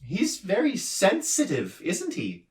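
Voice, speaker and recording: A man voicing a polite, curious young boy in a storybook reading, his voice raised higher. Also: huge pitch swings, takes it slowly, a little mellow, far from the mic, full character voice.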